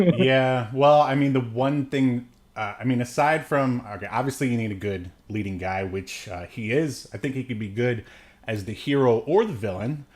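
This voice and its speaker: A man in his late thirties with an average-pitched voice talks as a podcast co-host. He reviews films casually, wandering through halting sentences and trailing off into asides. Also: darkish tone. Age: late 30s. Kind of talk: casual film review chat.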